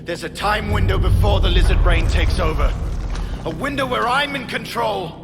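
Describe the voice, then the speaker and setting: A man's voice: high-pitched, normal speed, vocal fry. A man playing a desperate scientist in a video game cutscene, pleading and arguing intensely. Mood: intense, insistent. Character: desperate male scientist fighting a monstrous transformation within himself. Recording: long reverb, music behind.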